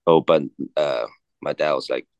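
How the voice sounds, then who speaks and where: A man in his thirties from China, a native Cantonese speaker, talking haltingly as an interview guest with many fillers and restarts. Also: rumbling low, gravelly, smooth, no room sound, highs cut off. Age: 30s. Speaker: guest, watch collector. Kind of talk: casual interview chat.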